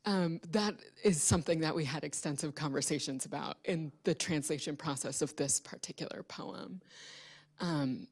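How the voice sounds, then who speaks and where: A woman on a panel at a public event, reflecting in a measured, academic way and pausing mid-phrase. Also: low-pitched, slightly rough. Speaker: panelist and translation collaborator.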